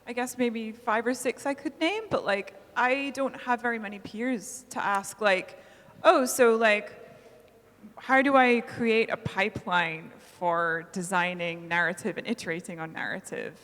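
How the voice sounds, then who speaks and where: A woman's voice: on the high side, fairly even intonation, a little slow, fairly bright tone. A woman in her thirties chatting casually on a festival panel, self-mocking and full of fillers. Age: 30s. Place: festival talk hall. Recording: long hall reverb.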